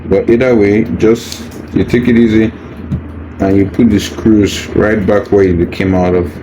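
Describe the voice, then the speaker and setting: Low-voiced man giving patient setup instructions. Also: steady tone, unhurried, dark tone, poor audio. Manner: patient, reassuring, repeats himself. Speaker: product demonstrator. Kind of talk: hands-on unboxing tutorial, casual step-by-step instruction. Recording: faint background noise.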